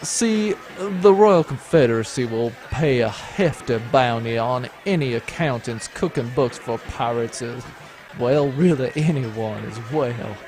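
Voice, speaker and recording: An actor playing a smug, treacherous baron in a space adventure audio drama, mock-genial and pompous. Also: male voice, mid-range voice, a little slow, a little mellow, lightly acted. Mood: gloating. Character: smug, treacherous male baron, smoothly menacing villain.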